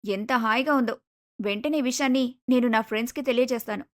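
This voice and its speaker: A woman's voice: a little high, fast, close to an everyday voice. A woman voicing an arrogant, spoiled young adult daughter in a cartoon moral story, with a haughty, bossy manner. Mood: delighted, gushing.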